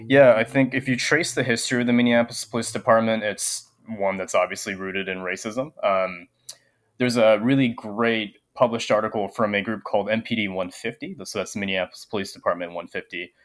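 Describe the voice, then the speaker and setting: A young man and teacher, a guest, talking in a soft, filler-heavy way over a remote call. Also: on the low side, fairly quick. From Japan. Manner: soft-spoken with many filler ums. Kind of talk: casual interview conversation.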